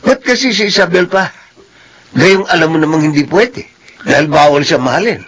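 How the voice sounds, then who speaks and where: A man's voice: a little high, animated, normal speed, fairly bright tone. A man acting a frank young buddy who chides his friend in a radio drama, over faint background ambience. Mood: chiding.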